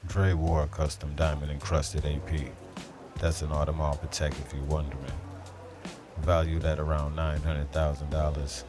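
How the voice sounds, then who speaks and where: Very deep brooding noir detective, acted by a man. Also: little pitch movement. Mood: grim, observant. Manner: measured, dramatic, terse. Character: brooding, street-smart male police detective narrating a noir mystery. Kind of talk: noir audio drama narration. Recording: voice over prominent lo-fi music.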